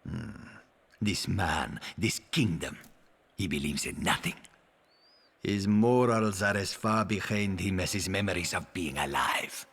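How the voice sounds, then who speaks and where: An actor playing a stern, righteous zealot in a video game, speaking in a grave, accusatory and deliberate manner. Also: male voice, low-pitched, lively intonation, a bit bright, breathy edge, full character voice. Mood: scornful, grave. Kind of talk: video game cutscene acting.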